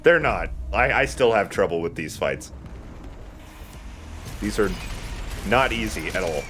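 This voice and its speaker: A man's voice: on the low side, expressive, unhurried, a bit bright, slight background noise. A man giving casual, chatty, self-deprecating commentary while he plays a video game as host. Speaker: let's play host playing the game.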